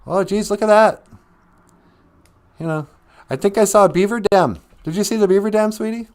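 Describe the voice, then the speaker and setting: A man's voice: high-pitched, normal speed, darkish tone, pitched above the performer's own voice. A man voicing a chatty, oblivious wife who prattles on sweetly in a folktale told aloud. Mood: chirpy prattling. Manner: rattles on cheerfully. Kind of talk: oral folktale storytelling.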